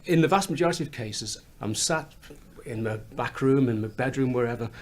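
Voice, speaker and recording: A songwriter with a slight Liverpool accent and a fairly high voice, chatting in a studio interview and laughing often as he explains how he writes songs. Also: a little fast, darkish tone. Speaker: songwriter and musician. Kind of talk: radio interview chat. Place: recording studio.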